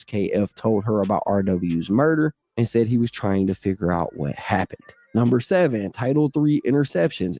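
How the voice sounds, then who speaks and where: A man reads through a court filing in a steady, measured delivery, now and then leaning on a word for emphasis. His voice is mellow and low in brightness. The sound is dry and close, with almost no room echo. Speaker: commentator reading a court document. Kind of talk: reading a legal filing aloud with brief asides.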